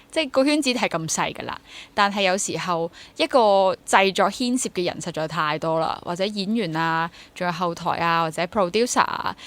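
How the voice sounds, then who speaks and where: A woman's voice: fairly low pitch, fairly bright tone. A woman in her twenties with a Hong Kong Cantonese accent, talking casually as an interview guest, reflective and rephrasing as she goes, mixing in English words. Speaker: interview guest, freelance actor and drama teacher.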